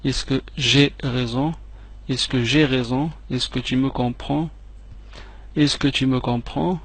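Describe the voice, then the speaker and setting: A man teaching French phrases, repeating each one slowly and clearly, with quick asides in between. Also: mid-range voice, fairly even intonation. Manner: slow, clearly separated repetitions, quick asides in another language. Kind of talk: repeat-after-me language drill.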